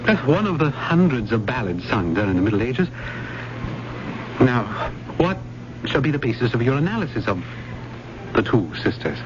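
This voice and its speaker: An actor playing a grieving, polite widowed professor in a radio drama, speaking formally and deliberately. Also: male voice, mid-range voice, very animated, close to an everyday voice. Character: grieving, stuffy-but-polite widowed male English literature professor. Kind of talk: 1970s radio drama acting. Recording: muffled, noisy old radio broadcast.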